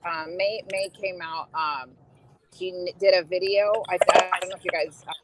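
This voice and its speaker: A woman's voice: on the low side, steady tone, moderate pace, heavy background noise. A woman talking hesitantly in a casual group chat, turning to jokes. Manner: hesitant, then joking. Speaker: call-in guest.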